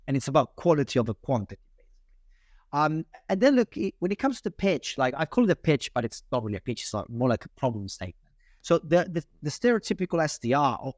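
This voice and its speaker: A man with an Italian accent talks through cold-calling tactics in a casual interview. He is animated and quick, often restarting his sentences as he goes. His voice is mid-pitched and sits dry and close to the mic. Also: no echo. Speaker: sales guest.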